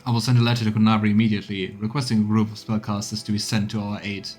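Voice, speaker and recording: A man's voice: lowish voice, flat delivery, racing, darkish tone, faint music behind, lightly acted. Man voicing a firm, earnest military adviser. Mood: assured, earnest. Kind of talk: reading video game dialogue aloud.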